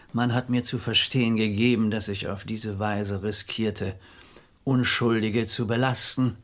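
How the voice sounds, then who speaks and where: An actor playing a discreet, resigned French police commissioner in a German radio crime drama, speaking formally, with measured courtesy and a husky voice. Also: male voice, lowish voice, lightly acted. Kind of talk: German radio play acting.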